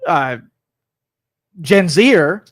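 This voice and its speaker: A high-pitched man talks through his political views on a studio talk show. He rambles and argues, restarts his sentences and acts out mock quotes. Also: expressive, extremely slow.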